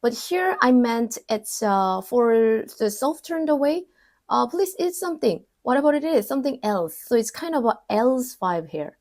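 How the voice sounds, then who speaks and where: A young woman, a native Korean speaker, leads an online grammar lesson in English. Her voice sits a bit high. She talks in a relaxed, explanatory way and says Korean example phrases slowly and more than once. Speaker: Korean language teacher.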